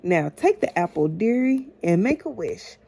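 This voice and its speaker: A woman's voice: mid-range voice, sing-song, normal speed, vocal fry, dark tone, slightly rough, full character voice. A woman voicing a deceitful storybook queen who poses as a sweet old lady. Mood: coaxing, sly.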